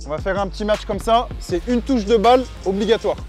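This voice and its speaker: A young man hosting a casual football vlog, joking and pushing a sponsor pitch. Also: darkish tone. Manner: brisk, joking, pushy sales pitch. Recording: background music.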